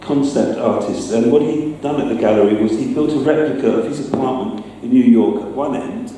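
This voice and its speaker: A man presents informally in a chatty, slightly hesitant way. His voice is dark and relaxed. It comes from some distance in a strongly echoing room, with a little background noise. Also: mid-range voice, brisk. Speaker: presenter, sound effects maker. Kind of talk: informal public talk with demonstration. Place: live talk in a reverberant indoor venue. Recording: echoey room, distant mic, faint noise.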